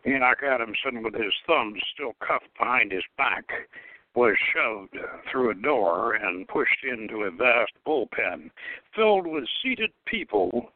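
A man's voice: medium pitch, big pitch swings, a little slow. A man, a show host, reading a novel aloud as dramatic scripted narration. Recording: narrowband radio-like sound, dry.

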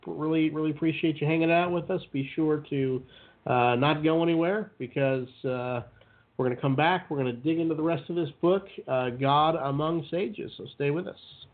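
Higher-voiced male host, phone-like sound. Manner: polite, informal. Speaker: show host interviewer. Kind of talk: casual hosting talk. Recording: phone-like narrow band-limited sound.

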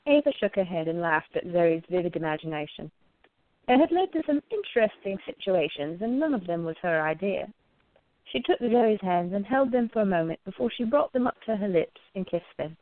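A woman's voice: mid-range voice, expressive, fairly quick, no room sound, narrow, tinny audio. A woman narrating a scripted radio play as a storyteller.

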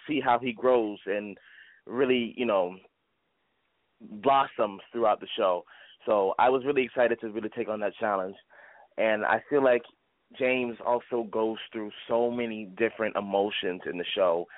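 A man in his twenties speaks conversationally as an interviewed actor. His voice is fairly low. The sound is dry and band-limited, like a phone call. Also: no echo. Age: 20s. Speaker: stage actor, interview guest. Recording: tinny phone line, dry.

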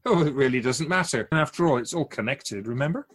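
Man playing a husky, animated otherworldly Keeper of souls. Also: mid-range voice, lightly acted. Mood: playful, teasing. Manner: measured philosophical explaining with rhetorical questions. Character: otherworldly Keeper of souls, wise, patient, gently challenging teacher. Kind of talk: radio drama dialogue.

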